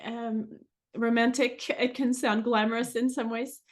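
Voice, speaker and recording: A woman's voice: a little high, little pitch movement, a little slow. A middle-aged woman from France, a native French speaker, giving an informal, reflective talk as a guest researcher.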